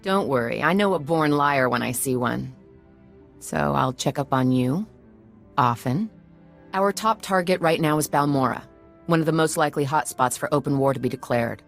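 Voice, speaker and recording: An actress playing a shrewd, commanding senator with a formal, authoritative manner in a video game. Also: female voice, sits low, moderate pace, gravelly, faint music behind. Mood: shrewd, firm.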